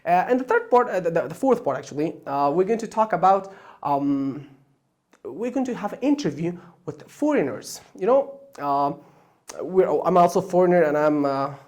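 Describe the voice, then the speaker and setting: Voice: animated, moderate pace, a little mellow. Hesitant host. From Afghanistan. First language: Persian and Pashto. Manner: hesitant, many fillers and restarts, pitch jumping up on key words. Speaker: online Chinese course host. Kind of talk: unscripted explanation of a course plan.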